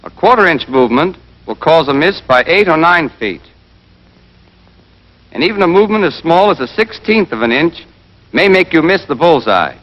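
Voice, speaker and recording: Exacting US Army rifle instructor, high forceful voice, American accent, drilling delivery, old dull film soundtrack. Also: male voice, big pitch swings, moderate pace. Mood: firm, emphatic. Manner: drilling, emphatic, quizzing. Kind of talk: scripted instruction in 1940s training film. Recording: dull band-limited 1940s film soundtrack.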